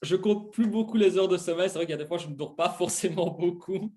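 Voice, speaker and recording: A man's voice: high-pitched, fast talker, a little mellow. A man in his twenties from Belgium, casually telling his own story as an interview guest. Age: 20s.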